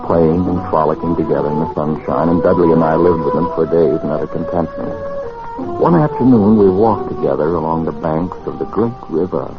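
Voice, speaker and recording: A very low-voiced, wary time traveller in a 1940s radio drama. He speaks in measured, literary phrases with an anxious, gentle streak. The old recording is dull, with music swelling underneath. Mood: solemn storytelling. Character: wary male time traveller and narrator, easily frightened, gentle. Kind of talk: 1940s-50s radio acting, dramatic narration.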